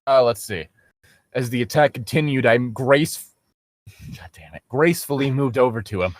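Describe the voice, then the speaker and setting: Guy riffing on a story, sarcastic and nitpicky, swears a bit, medium pitch, no room echo. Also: normal speed. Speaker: co-host reading and mocking amateur fiction. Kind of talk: casual comic commentary mixed with story reading.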